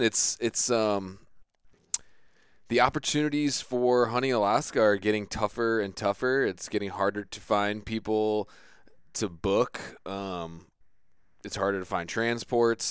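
Deep voiced guy, 36, super smooth, rambles through his questions with lots of ums, dry close sound. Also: dry recording. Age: 30s. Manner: rambling, long-winded questions with fillers. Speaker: podcast host. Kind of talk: casual podcast interview.

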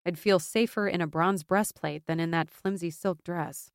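Female narrator as an exiled warrior noblewoman, proud, firm, wry, lowish voice, fantasy audiobook. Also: moderate pace, clear, resonant tone, no room sound, studio quality, lightly acted.

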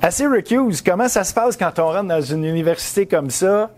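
A man's voice: high-pitched, lively intonation, normal speed, darkish tone. A man with a Quebec accent hosting a casual sports interview, feeling his way through questions as he rephrases them. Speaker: podcast interviewer.

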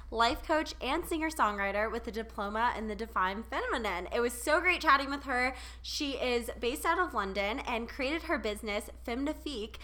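A woman's voice: high-pitched, bright, forceful tone. A young woman co-hosting a podcast, upbeat and enthusiastic as she introduces and interviews a guest. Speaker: podcast co-host. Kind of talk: casual interview chat.

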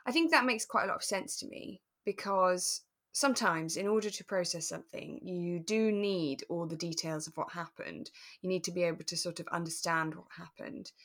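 Woman, lowish voice, chatting thoughtfully on a podcast, explains things as she goes. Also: fairly bright tone. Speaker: podcast co-host. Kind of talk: relaxed discussion of a radio drama.

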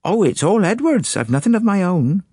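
A man's voice: high-pitched, very animated, normal speed, a little mellow, husky, dry recording, clean studio sound, voice pushed up, full character voice. A man reading an audiobook, voicing an anxious, indignant middle-aged woman who talks in a prim, complaining way. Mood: fretful. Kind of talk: audiobook character voice.

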